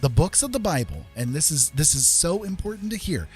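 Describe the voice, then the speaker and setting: A man's voice: a little high, very animated, a little fast, a little mellow, slightly rough, soft background music. A man hosting a casual livestream, talking in an emphatic, opinionated, conversational way and often checking in with the listener. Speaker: livestream host.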